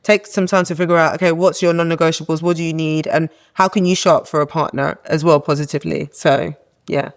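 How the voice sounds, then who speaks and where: A young woman with a low voice she calls masculine, a guest giving earnest, encouraging advice in a casual podcast chat. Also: steady tone, a little fast.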